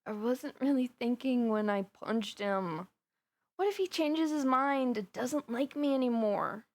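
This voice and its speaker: A woman's voice: a little high, unhurried, a little mellow, no room sound, natural voice. A woman playing a flustered, lovestruck supervillainess in a roleplay audio drama, chatting intimately and dramatically to her best friend. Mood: embarrassed, indignant. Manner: chatty, dramatic, playfully indignant, with sighs and gasps. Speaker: voice actor.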